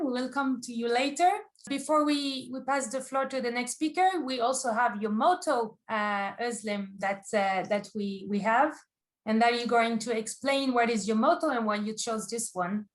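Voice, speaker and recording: A woman's voice: fairly high pitch, normal speed. A woman moderating a webinar over a video call, talking hesitantly with many restarts and frequent light laughs. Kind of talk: hosting a live webinar.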